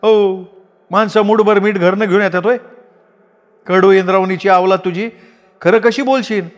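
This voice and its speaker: A man voicing a quarrelsome village woman who scolds in rapid, shrill taunts. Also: fairly even intonation, in a large hall, close to an everyday voice. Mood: scolding. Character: quarrelsome village woman, sister-in-law Dhabi.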